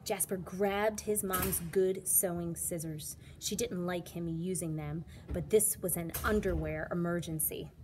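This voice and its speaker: Female storybook reader, lower-pitched, unhurried and expressive, teacher addressing children, slight noise behind. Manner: dramatic, emphatic storytelling for children. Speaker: teacher reading aloud.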